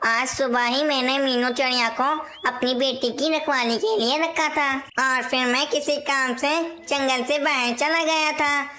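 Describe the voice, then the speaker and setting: A woman's voice: high voice, fairly even intonation, a little slow, few pauses, sharp tone, a little hiss or hum. A woman voicing an anxious, indignant father parrot who rules as king in a cartoon story. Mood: angry, hurt. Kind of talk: cartoon moral-story dialogue.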